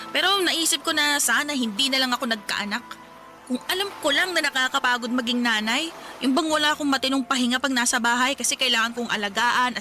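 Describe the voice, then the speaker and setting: High, whiny young Filipino mother. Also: pushed and bright. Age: young adult. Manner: whiny, complaining, talking back. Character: sullen, resentful young mother, whiny and defiant granddaughter. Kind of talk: Filipino radio drama acting.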